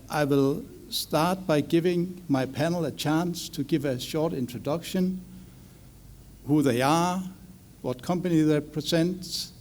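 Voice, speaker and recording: A man's voice: on the high side, slight background noise. Older Danish man moderating a panel. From Denmark. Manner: relaxed, self-joking, hesitant with fillers.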